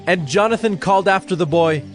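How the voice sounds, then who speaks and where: A man's voice: high voice, fairly quick, soft background music. A man narrating a dramatized Bible story from a script.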